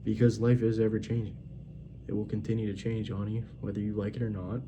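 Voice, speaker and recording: A man's voice: on the low side, flat delivery, fast talker, noisy background. A man in his twenties giving a blunt, profane, rambling pep talk as a casual monologue. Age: 20s. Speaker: vlogger.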